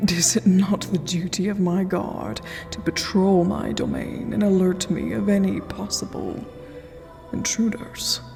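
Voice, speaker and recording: A woman's voice: medium pitch, fairly even intonation, unhurried. A woman voicing an ancient vampire queen in a fantasy audio roleplay, regal and threatening, often dropping to a whisper. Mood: stern, questioning. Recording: long hall reverb, music underneath. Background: clear background music.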